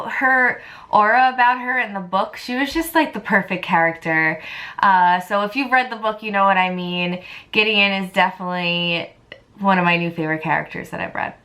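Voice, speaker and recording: A woman's voice: mid-range voice, bright, forceful tone. Chatty, enthusiastic woman book vlogger. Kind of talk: casual chat to viewers.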